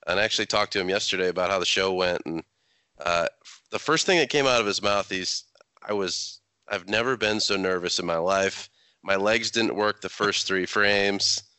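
Low, bright, clear man chatting casually. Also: normal speed, no echo. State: under the weather with a cold. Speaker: podcast co-host. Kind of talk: casual sports chat.

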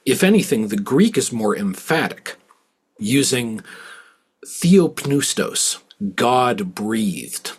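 A man hosting a podcast, explaining things in a measured, articulate, scholarly way. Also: mid-range voice, very animated, husky. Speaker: podcast host. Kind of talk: podcast discussion and scripted explanatory narration.

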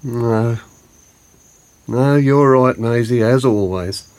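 A man's voice: medium pitch, slow pace, a little mellow, close to an everyday voice. An actor playing an elderly Australian grandfather in an audio drama, colloquial, plain-spoken, grumbling and affectionate. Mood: glum. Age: older. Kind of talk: naturalistic audio drama dialogue.

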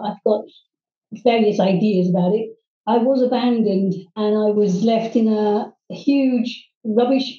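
A middle-aged woman from Hong Kong, telling her life story as a guest, earnestly and haltingly, with many ums and restarts. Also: a little high, warm tone. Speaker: guest, private English teacher. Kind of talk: personal storytelling in interview. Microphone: video call. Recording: distant, roomy, dull video-call audio.

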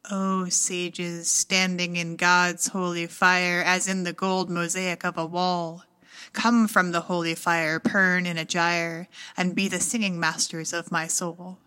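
Female poetry reader, even-toned and unhurried, deliberate in her phrasing. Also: lowish voice, clear, resonant tone. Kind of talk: poetry recitation.

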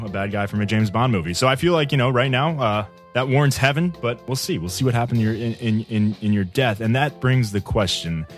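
A man hosting a comedy podcast, musing in an offhand way. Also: on the low side.

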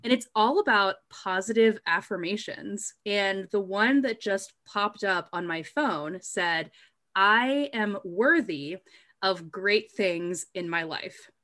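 Effusive woman podcast host, mid-pitched. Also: animated, slow, a bit bright. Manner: effusive and welcoming. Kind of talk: podcast interview.

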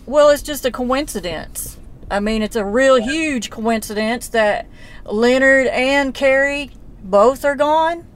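A woman's voice: sits high, slow. Blunt woman in her forties. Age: 40s. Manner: blunt, folksy, persistent questioning. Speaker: relative investigating a disappearance. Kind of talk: casual phone conversation.

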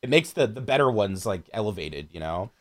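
Male podcast co-host, fairly deep voice, casual film talk, slangy, sweary and keen. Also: big pitch swings, brisk. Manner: profane, slangy, enthusiastic. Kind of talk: casual chat about films.

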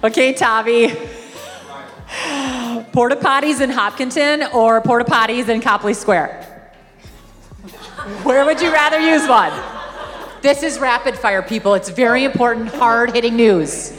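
A woman's voice: on the high side, measured pace, pauses often, a bit bright. A woman from Minnesota with a Minnesotan accent, moderating a panel and firing off playful questions while laughing often. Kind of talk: playful rapid-fire questions. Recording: echoey hall with crowd and music.

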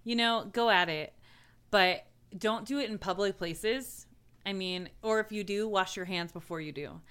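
Podcast co-host, woman, crisp bright tone, crude teasing humor. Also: mid-range voice, moderate pace. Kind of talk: casual chat.

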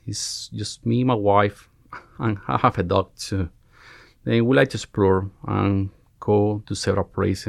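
Halting Cuban-accented man, gravelly. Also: lowish voice, no echo, clean studio sound. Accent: Cuban Spanish. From Cuba. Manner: halting, searching for words, frequent restarts. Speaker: guest, family medicine resident. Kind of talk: podcast interview.

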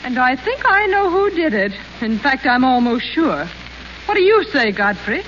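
A woman's voice: high voice, big pitch swings, moderate pace, background noise. An actress playing a haughty, petulant, spoiled young society woman, in a muffled 1930s radio broadcast. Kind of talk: 1930s radio play acting.

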